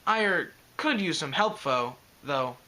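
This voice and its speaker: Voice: medium pitch, animated, a little slow, pushed and bright. A reader voicing an earnest, eager young dragon boy.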